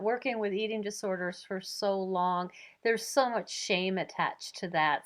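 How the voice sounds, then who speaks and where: A woman hosting an interview, affirming her guest often and asking open questions. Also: fairly low pitch. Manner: affirming, many soft "mm-hmm"s. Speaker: interview host. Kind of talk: casual interview conversation.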